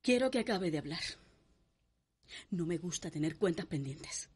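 A woman's voice: lowish voice, animated, normal speed, husky. An actress with a Cuban accent playing a proud, defiant young mother, weary but resolute, in a radio soap opera.

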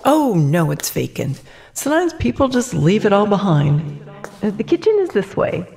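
Creaky-voiced breezy realtor. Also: female voice, mid-range voice, very animated, moderate pace, darkish tone, a little hiss or hum. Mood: casual, matter-of-fact. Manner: casual, salesy. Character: breezy, businesslike female real estate agent. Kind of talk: naturalistic radio play acting.

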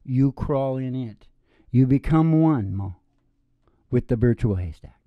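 A middle-aged man hosting with rambling, improvised storytelling in a folksy, halting way, speaking into a close stand microphone. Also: mid-range voice, animated, no room sound, dull audio. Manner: folksy, halting, with trailing sentences. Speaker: podcast host and singing DJ. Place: small indoor stage with curtain backdrop.

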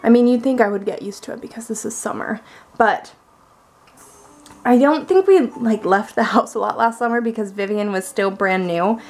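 A woman's voice: fairly high pitch, moderate pace, a little mellow, soft background music. A young woman chatting casually and playfully for a family vlog, with lots of exclamations. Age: young adult. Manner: bubbly, playful, exclaims often. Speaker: vlogger. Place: car and family home. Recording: noisy car interior.

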